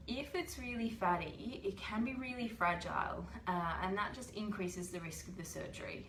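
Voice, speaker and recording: A woman with a cold hosts a relaxed livestream, talking things through in a chatty, patient way full of ums. Her voice sits a little low. The room around her is echoey, with a faint hum of background noise. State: has a cold. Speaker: host. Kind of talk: casual livestream explainer. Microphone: webcam or phone livestream.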